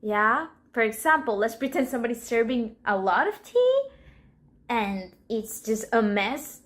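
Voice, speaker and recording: Colombian lady teaching spanish slang, slow and easy, kinda high voice, plays out little examples. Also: sing-song. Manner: slow, playful, acts out little example scenes. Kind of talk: casual language lesson to camera.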